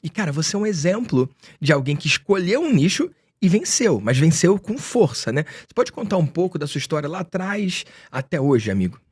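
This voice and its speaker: A man's voice: on the high side, big pitch swings, fairly quick, few pauses, husky, no room sound, clean studio sound. A Brazilian man hosting a podcast, introducing his guest in an enthusiastic, slangy, friendly way. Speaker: podcast host. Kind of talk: casual podcast interview.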